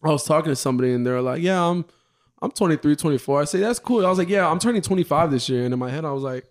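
A man's voice: on the high side, expressive, very fast. A man in his twenties, co-hosting a podcast, joking and laughing in casual banter with friends. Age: 20s. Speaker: podcast co-host. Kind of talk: casual chat among friends.

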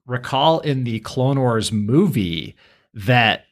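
A man's voice: medium pitch, lively intonation, slow pace. A man co-hosting a podcast in casual pop-culture commentary, with wry, colorful exaggeration. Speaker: podcast co-host.

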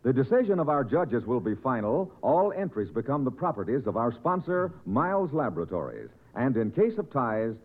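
A male announcer delivers scripted copy in the manner of 1940s radio. His pitch is mid-range but moves in wide swings, formal and declamatory. The recording is thin, with a phone-like narrow band. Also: very animated, moderate pace, few pauses.

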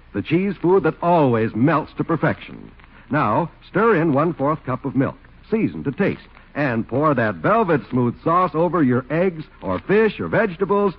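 Man, old-time radio commercial announcer, husky, emphatic folksy pitch, dull vintage recording. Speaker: announcer reading a sponsor's ad.

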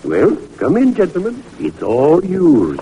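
An actor playing a wry, skeptical senior professor in an old-time radio drama, musing deliberately and teasing drily. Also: male voice, on the high side, wildly swooping pitch, gravelly, warm tone, dull audio, full character voice. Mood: dry, teasing. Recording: vintage radio recording with hiss.

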